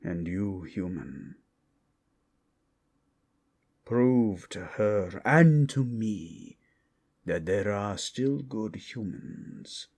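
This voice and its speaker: Give this fantasy roleplay a proud, protective red dragon. The voice is very low and thick with gravelly creak, breathy at the edges. Every phrase comes extremely slowly, formal and grandiose, menacing yet capable of tenderness. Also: male voice, expressive, a little mellow, heavily acted. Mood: solemn, tender. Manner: slow, grandiose, formal dramatic delivery. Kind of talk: improvised fantasy ASMR roleplay.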